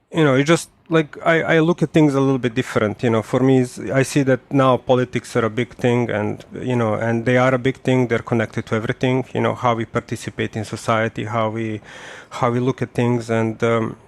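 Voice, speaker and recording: A man's voice: mid-range voice, level tone, fairly quick, creaky. A man in his forties from Serbia, a podcast guest talking casually and hesitantly, with many fillers and restarts. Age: 40s. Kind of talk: casual conversational interview.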